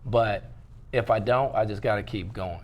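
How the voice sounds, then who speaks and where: A man's voice: fairly low pitch, moderate pace, lo-fi sound. A man talking casually as an interview guest, repeating phrases for emphasis. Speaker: interview guest, stage play producer and entrepreneur.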